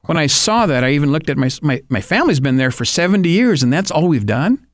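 A man's voice: on the high side, big pitch swings, fast, no echo, studio quality. Earnest missionary man telling his story. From Thailand. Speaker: missionary researcher, guest.

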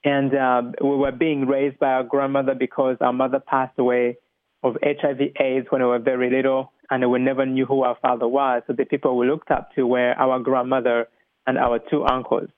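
A man in his thirties from Rwanda with a Rwandan accent, telling his story as an interview guest in a halting way, repeating words. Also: medium pitch, flat delivery, dry recording. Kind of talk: personal testimony in an interview. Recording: thin, band-limited phone-like line.

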